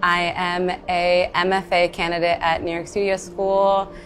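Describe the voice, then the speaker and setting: Casting: woman in her late twenties, painting student, low flat voice, music underneath. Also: measured pace. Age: 20s.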